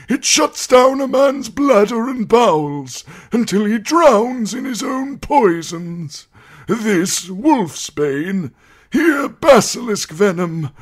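A man's voice: high voice, husky, pitched above the performer's own voice, full character voice. A man voicing a frail, quavering old male maester who accuses the prisoner.

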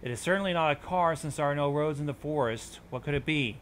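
A man's voice: a little high, fast talker, a bit bright, a little hiss or hum. A man hosting a reaction show, reading on-screen text aloud and adding commentary.

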